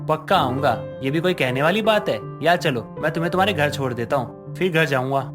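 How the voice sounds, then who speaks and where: A man's voice: fairly high pitch, expressive, a little fast, close to an everyday voice. A man playing an eager, teasing young husband in a story cartoon. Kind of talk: Hindi story cartoon dialogue. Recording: music under voice.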